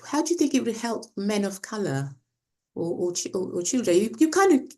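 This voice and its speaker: A woman's voice: mid-range voice, sing-song, a little fast, dark tone. A woman putting a question to a guest in a casual book club discussion, phrasing it in a hesitant, roundabout way.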